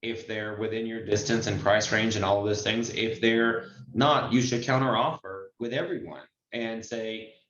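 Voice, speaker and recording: A man with a fairly low voice hosts an advice session over an online call. He explains things conversationally and with emphasis. The sound is roomy and somewhat dull. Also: fairly even intonation, normal speed, few pauses, fairly bright tone. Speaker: host and notary trainer taking questions. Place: group video call.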